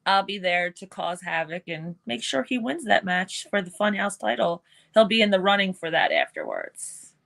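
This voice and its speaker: Bright-voiced brash New York woman. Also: medium pitch, big pitch swings, moderate pace, gravelly, hardly any pauses. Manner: laughs often, brash and playful. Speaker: professional wrestler guest. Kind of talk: casual podcast interview.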